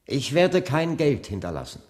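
A man's voice: mid-range voice, very animated, a little mellow, natural voice. An actor playing an earnest civil rights preacher in his late thirties in a German radio drama. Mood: solemn. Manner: measured, deliberate oratory when preaching. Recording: long hall reverb.

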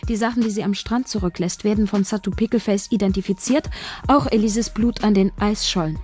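A woman's voice: medium pitch, a little fast, darkish tone, faint music behind, natural voice. An actress playing a shy young woman scriptwriter who narrates her own story in a radio drama. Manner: inner-monologue narration, urgent in scenes. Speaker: narrator and protagonist.

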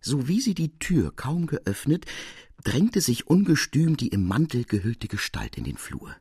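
A man narrating literary fiction in an expressive, suspenseful, dramatic style. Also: medium pitch, a little slow, slightly rough, dry recording, studio quality.

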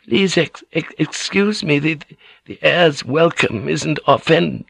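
A man's voice: fairly high pitch, very animated, slow, creaky, no room sound, voice pushed up, exaggerated voice. A man playing a frightened elderly Japanese noblewoman in an audiobook, with a weak voice and timid, stammering delivery. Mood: flustered, protesting. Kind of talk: dramatic audiobook character voice.

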